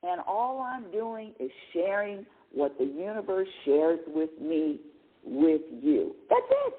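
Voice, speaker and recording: A middle-aged woman hosts a spiritual talk show, her voice swinging widely in pitch as she talks. She often restarts and repeats words and laughs between thoughts. The sound is narrow and phone-like. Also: huge pitch swings, slow pace. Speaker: radio show host. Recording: phone-like lo-fi line.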